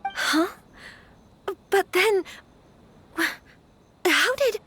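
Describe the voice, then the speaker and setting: A refined young noblewoman from an anime game, with a high voice. She is earnest and gentle, choosing polite words with some hesitation as music plays behind her. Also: expressive, drawn-out words, full character voice. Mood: startled. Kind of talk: anime video game voice acting. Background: soft game music.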